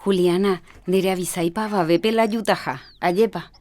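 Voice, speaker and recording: A woman's voice: lowish voice, fairly even intonation, normal speed, darkish tone, close to an everyday voice. An actress playing an earnest woman health professional visiting a village in a radio soap opera. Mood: surprised, reproachful.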